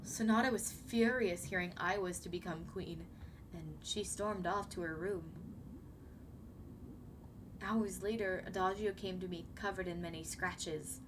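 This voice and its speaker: A woman voicing a young siren queen, gentle, anxious and apologetic, speaking formally and hesitantly to the listener in an ASMR roleplay. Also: lowish voice, measured pace, close to an everyday voice. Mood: somber, confiding. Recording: clearly noisy background ambience.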